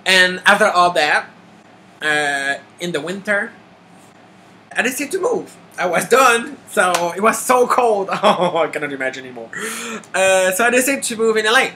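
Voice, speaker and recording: Quebec man, thirties, bright crisp voice, stop-start delivery, faint hiss behind. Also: sits high, lively intonation. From Quebec, Canada. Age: 30s. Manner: halting, many restarts and filler. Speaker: makeup artist auditioning. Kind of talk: casual self-introduction to camera.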